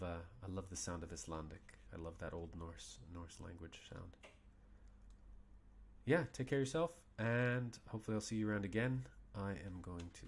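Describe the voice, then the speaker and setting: A man's voice: sits low, a little hiss or hum. A man in his thirties streaming a strategy game from a small room at home, muttering and thinking aloud as he plays. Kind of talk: casual gameplay commentary. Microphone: desk mic with webcam.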